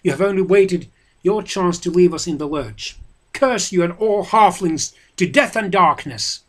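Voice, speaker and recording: A man's voice: on the high side, animated, moderate pace, slightly rough. A man voicing a proud, desperate grown warrior, declaiming with an impassioned, raised voice. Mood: raging. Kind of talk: dramatic reading of a novel.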